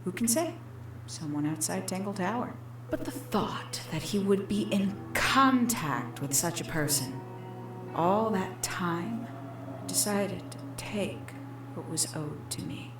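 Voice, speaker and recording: A woman playing a calculating young villain in a video game, confessing her schemes in measured, deliberate, theatrical storytelling. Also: mid-range voice, expressive. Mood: sly, composed. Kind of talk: scripted video game character monologue. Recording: echoey, with music behind.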